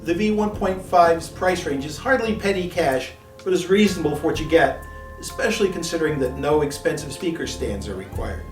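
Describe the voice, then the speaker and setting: Guy reading a scripted review, kinda echoey and off the mic, measured and articulate. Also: fairly high pitch, expressive. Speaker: product reviewer and presenter. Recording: echoey room, distant mic, music behind.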